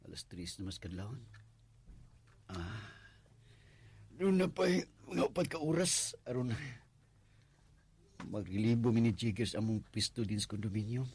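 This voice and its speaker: A man with a Cebuano accent playing a sly, lustful, deferential guard in a radio drama, stammering and muttering to himself in a husky, breathy voice. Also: on the low side, lively intonation, a little slow, pauses often, a little mellow, heavily acted. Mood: sleepy, musing. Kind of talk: Filipino radio drama acting.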